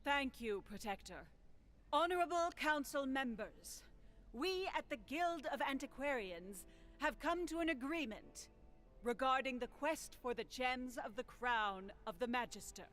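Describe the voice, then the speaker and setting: A diplomatic chancellor from a fantasy game. Her voice is high. She delivers her words as measured, formal oratory. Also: lively intonation, a bit bright. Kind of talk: fantasy video game voice acting.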